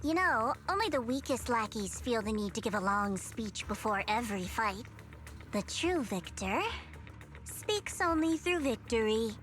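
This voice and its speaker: A woman's voice: a little high, expressive, unhurried, fairly bright tone, soft background music. Curt, aloof woman fighter. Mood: contemptuous. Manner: curt, contemptuous.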